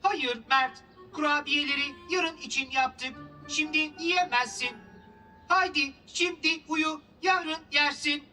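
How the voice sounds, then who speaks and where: Small curious cartoon sidekick: high, slow-paced, gentle, music behind. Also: female voice. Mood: gently firm. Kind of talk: children's cartoon dub acting. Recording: music under the voice.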